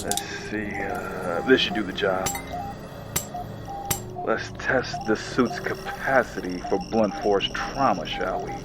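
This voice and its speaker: An actor playing a ruthless, pragmatic enforcer in a sci-fi horror audio drama, wry and coldly mocking. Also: male voice, fairly low pitch, takes it slowly, over loud music. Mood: menacing.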